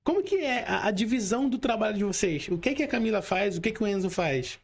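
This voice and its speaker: A man's voice: high voice, lively intonation, fast talker. A Brazilian man hosting a casual interview, putting questions to his guests. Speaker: podcast host.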